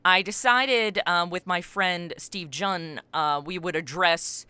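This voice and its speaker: A woman with a bright, forceful voice and very clean tone talks as a guest in an interview. She explains things in an expansive, animated storytelling style, and the sound is dry and close. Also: on the low side, slow pace, clean tone, dry recording. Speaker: podcast creator and writer, interview guest. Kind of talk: conversational interview answer.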